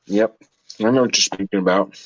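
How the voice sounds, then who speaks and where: A lo-fi, slightly dull recording of a man with a lowish voice. He speaks informally and agreeably, as in a casual chat between friends on a stream. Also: fairly quick. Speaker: livestream co-host or guest. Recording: dull lo-fi call audio.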